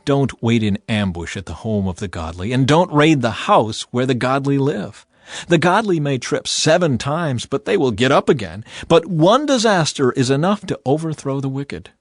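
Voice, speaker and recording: A man narrating a dramatized audio Bible in an expressive, measured, solemn and exhortative way. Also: mid-range voice, dry recording, studio quality. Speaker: scripture narrator. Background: background music.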